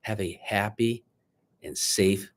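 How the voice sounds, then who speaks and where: A man reading a picture book aloud dramatically to children, pausing to coax them with questions like a teacher. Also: fairly low pitch, steady tone. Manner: dramatic, teacherly, coaxing young listeners with questions.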